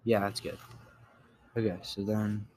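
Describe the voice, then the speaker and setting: A man giving a casual screen-recorded software tutorial, halting as he thinks aloud while he works. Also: on the low side, level tone, a little hiss or hum. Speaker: tutorial creator.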